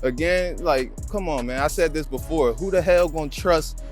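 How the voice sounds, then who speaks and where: A man's voice: on the high side, moderate pace. A man with an American accent giving casual, slangy commentary as the host of a channel. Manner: slangy, conversational. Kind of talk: casual commentary on a video clip. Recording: music behind the voice. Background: background music.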